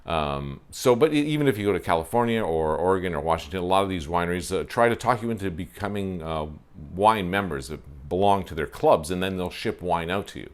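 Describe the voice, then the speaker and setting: Chatty man with a deep voice. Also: animated, brisk. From Ontario, Canada. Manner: chatty, self-deprecating, frequent fillers. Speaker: wine show host. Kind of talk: casual talk to camera. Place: covered porch, outdoors. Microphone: lapel mic.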